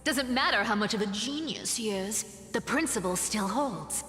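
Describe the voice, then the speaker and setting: An actress voicing a sly, playful young demigoddess of trickery in a game, with a taunting, expressive delivery. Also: female voice, on the high side, normal speed. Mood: scheming, confident. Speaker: voice actor in a game. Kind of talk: dramatic game dialogue. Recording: reverberant hall with music underneath.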